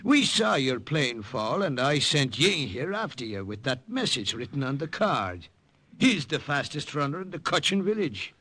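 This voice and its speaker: A bright, lilting Irish priest. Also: male voice, medium pitch, very animated, normal speed. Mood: apologetic. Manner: chuckling, genial, lilting. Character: jovial, kindly Irish missionary priest in the jungle. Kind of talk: old-time radio drama acting. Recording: vintage radio recording.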